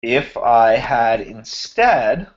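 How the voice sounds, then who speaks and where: A man's voice: medium pitch, expressive, lo-fi sound, slight background noise. A man teaching a finance class over an online hangout, walking through a spreadsheet example while he is losing his voice. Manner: slow, thinking aloud while formatting cells. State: losing his voice, clearing throat. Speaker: finance instructor. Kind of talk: screen-share spreadsheet lecture. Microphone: computer mic on a video hangout.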